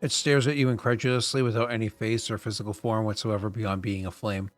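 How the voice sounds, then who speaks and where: A man's voice: fairly low pitch, level tone, brisk, soft background music. A man running a tabletop game as game master, rambling with many fillers and restarts on a home microphone.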